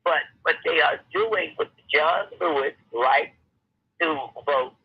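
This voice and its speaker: A woman's voice: sits low, unhurried, breathy edge, highs cut off. An older woman speaking as an elder guest on a call-in talk show, earnest and lecturing, often stopping and restarting mid-sentence.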